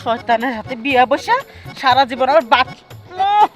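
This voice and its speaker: A woman voicing a cranky, excitable cartoon village mother with a squawking delivery and Bangladeshi accent. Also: high voice, normal speed. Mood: grumbling. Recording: loud music bed behind voice.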